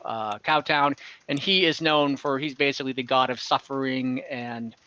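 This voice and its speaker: A man working as game master, improvising narration for an online tabletop game in a halting way, searching for words. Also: on the high side, pushed and bright, lo-fi sound.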